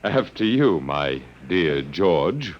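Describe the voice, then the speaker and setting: An actor playing a reluctant, stubborn but unheroic captive in a 1950s radio drama, sardonic, understated and resigned. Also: male voice, deep voice, sing-song, extremely slow, over loud music, exaggerated voice. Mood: dry, resolved. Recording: dull vintage 1950s radio recording.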